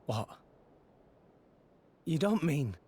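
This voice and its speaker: A man voicing an earnest, hopeful teenage boy hero in a video game, with expressive delivery. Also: on the high side, drawn-out words, husky, heavily acted. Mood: shocked, dismayed. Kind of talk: video game cutscene acting. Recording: game music behind.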